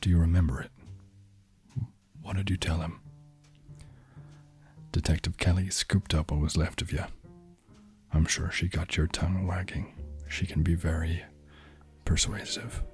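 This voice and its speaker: An actor playing a cynical, hard-boiled male detective in an ASMR noir drama, speaking in a slow, brooding half-whisper. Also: little pitch movement, a little mellow, soft background music. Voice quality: very deep, husky, low murmur.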